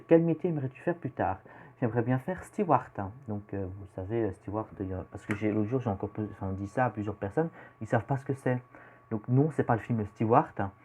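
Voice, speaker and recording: A man's voice: lowish voice, warm tone. A teenage boy from Belgium with a Belgian French accent, casually answering questions about himself in a vlog, rambling and hesitant with lots of fillers. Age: teen. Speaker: youtuber. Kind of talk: casual self-introduction vlog.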